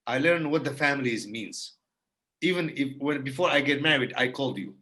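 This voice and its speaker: Guy on a podcast, bright voice, earnest, keeps repeating himself for emphasis. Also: mid-range voice, expressive, a little fast, slight background noise. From Iraq. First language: Kurdish. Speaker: guest. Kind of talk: heartfelt conversation.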